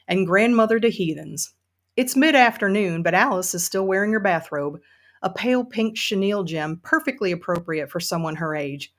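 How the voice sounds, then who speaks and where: A woman's voice: on the low side, fairly bright tone, lightly acted. A woman playing a seething middle-aged Southern woman, reading first-person fiction with sardonic emphasis and a Southern US accent. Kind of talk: dramatic first-person audiobook-style reading.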